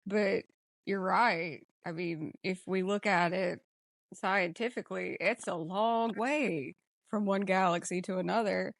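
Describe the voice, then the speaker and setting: Woman, podcast host, mid-range pitch, casual discussion, dry close recording. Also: fairly even intonation, a little slow, creaky, no room sound.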